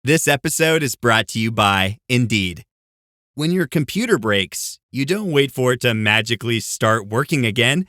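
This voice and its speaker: Announcer, male, mid pitch, dry close read, scripted ad copy. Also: animated, normal speed, sharp tone, dry recording.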